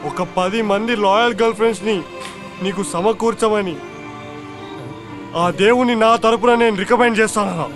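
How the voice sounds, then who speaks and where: Man acting a very high-voiced grieving Telangana buddy. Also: fairly even intonation, moderate pace, long pauses, over music. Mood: mournful. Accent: Telangana Telugu. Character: grieving young male buddy, mock-solemn and pleading. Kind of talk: comedic web series acting.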